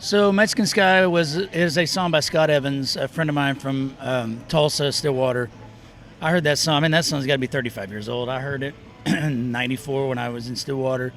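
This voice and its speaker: A man's voice: mid-range voice, fairly quick, fairly bright tone, a little hiss or hum. Middle-aged man, musician guest, loose rambling storyteller, mid-range voice. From Oklahoma and Texas. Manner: rambling, self-interrupting storyteller. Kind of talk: casual interview chat. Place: outdoor festival.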